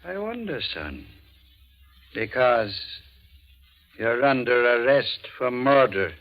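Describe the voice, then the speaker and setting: Stern arresting officer, unhurried; muffled vintage radio recording with faint music. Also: male voice, mid-range voice, sing-song. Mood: grave. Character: stern lawman making a murder arrest. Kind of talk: 1940s radio drama acting. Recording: dull 1940s radio recording, music behind.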